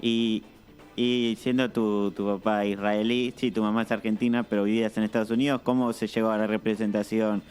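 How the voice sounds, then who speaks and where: Male host, lowish voice, laid-back interviewer. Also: level tone, fairly quick, a little mellow, soft background music. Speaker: radio host. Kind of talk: casual radio interview.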